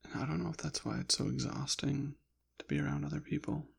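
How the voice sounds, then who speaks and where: A man rambling softly in an ASMR style, slipping in and out of a whisper, with a hesitant, halting, apologetic manner. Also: mid-range voice, big pitch swings, gravelly, slightly rough. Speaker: ASMR channel creator. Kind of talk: soft-spoken ASMR ramble, partly whispered.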